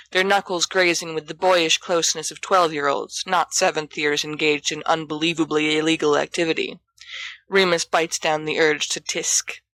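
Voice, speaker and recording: A woman's voice: deep voice, a bit bright, dry recording. A woman reading a scripted story aloud as an audiobook narrator. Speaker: volunteer audiobook reader.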